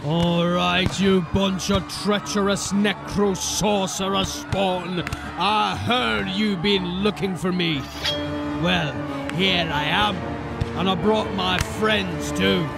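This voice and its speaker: A menacing criminal boss in a fantasy audio drama, with a gravelly voice and unhurried, swaggering delivery. He taunts and mocks over clear background music. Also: high voice, fairly even intonation, exaggerated voice. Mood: defiant, taunting.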